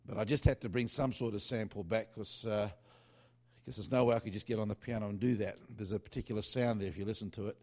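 A man with a fairly low voice talks about his music in a relaxed, unscripted way. He rambles, trails off and starts over, filling gaps with "uh". It sounds like a worship singer-songwriter chatting to listeners. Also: quick pace. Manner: loose and chatty, with many fillers and restarts. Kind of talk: casual rambling talk.

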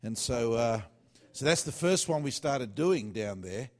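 A man's voice: mid-range voice, lively intonation, unhurried. A man who writes worship songs, talking casually in a loose, rambling way with many fillers and restarts. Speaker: worship singer-songwriter.

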